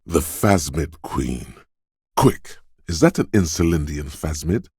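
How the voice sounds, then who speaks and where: An actor playing a detective's quick-witted, suspicious inner voice of intuition in a video game, narrating in a measured, knowing, urging manner. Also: male voice, expressive, a little mellow, dry recording, studio quality, exaggerated voice. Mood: intense. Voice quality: very deep, smooth, resonant. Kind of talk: scripted game narration, second-person inner voice.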